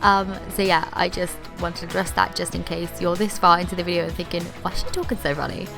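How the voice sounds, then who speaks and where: A woman's voice: fairly low pitch, fairly even intonation, fast, creaky, music in the background. A young woman vlogging a DIY tutorial in a casual, upbeat way, full of "like" fillers, while she is losing her voice. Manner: chatty, upbeat, lots of "like" fillers.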